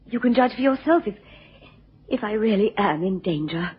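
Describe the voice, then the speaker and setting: A woman's voice: medium pitch, animated, normal speed, slightly rough. An actress playing a distressed, genteel wife in a radio drama, hesitant and pleading as she seeks help. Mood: anxious, beseeching. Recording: muffled lo-fi radio recording.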